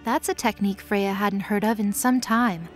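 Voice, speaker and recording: A woman's voice: medium pitch, fairly even intonation, moderate pace, faint music behind. A woman reading scripted third-person narration for a fantasy audio drama.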